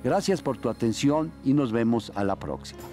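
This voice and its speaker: A man with a Mexican Spanish accent leads a yoga segment in a calm, unhurried voice, cueing each inhale and exhale. His pitch sits in an ordinary middle range. Faint guitar music plays softly behind him. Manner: calm, step-by-step cueing of breath and movement. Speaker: yoga instructor. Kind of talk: guided exercise instruction.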